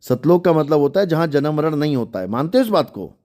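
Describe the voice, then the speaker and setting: A man's voice: fairly high pitch, sing-song, quick pace, darkish tone. A man in an argumentative religious debate, insistently explaining through rhetorical questions and cutting in. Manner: insistent, didactic, repeats rhetorical questions, interrupts. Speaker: religious debater taking a call.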